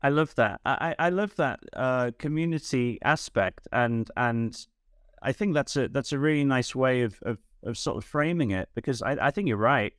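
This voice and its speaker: A man's voice: medium pitch, animated, moderate pace, a bit bright, no room sound. Man, podcast host, repeats words, casual, dry sound. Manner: stammering repeats of small words. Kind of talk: casual interview chat.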